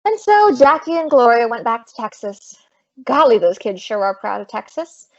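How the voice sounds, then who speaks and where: A woman's voice: a little high, very animated, normal speed, dull audio. A woman playing a brassy, big-hearted, wisecracking working woman in an old-time radio comedy. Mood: breezy, wry.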